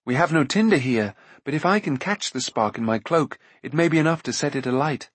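A man's voice: on the high side, animated, a little fast, husky, dry recording. A man voicing an earnest, caring young hero in an audiobook, with a concerned manner. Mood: anxious, resolute.

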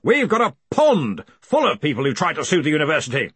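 A man voicing a hearty, booming head wizard of a university in an audiobook. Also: very animated, sharp tone, husky, no echo, heavily acted. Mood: bellowing relish. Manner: booming, brisk. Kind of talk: audiobook character acting.

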